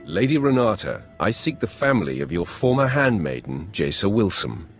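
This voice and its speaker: An actor playing a cold, arrogant young Sith apprentice in a video game, curt, menacing and sardonic. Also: male voice, lowish voice, sing-song, normal speed, soft background music. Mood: stern. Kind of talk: video game cutscene acting.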